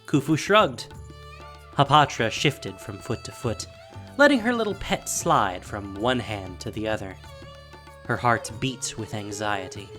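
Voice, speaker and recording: A woman narrating a scripted fantasy story in a theatrical, measured, dramatic style. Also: a bass voice, lively intonation, fairly bright tone, faint music behind.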